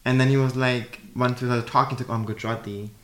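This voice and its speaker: A man's voice: medium pitch, steady tone, fast, a crowd in the background. Man in his thirties from Germany, chatty, says like a lot, echoey room. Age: 30s. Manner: chatty, uses "like" often. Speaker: guest. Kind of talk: casual interview.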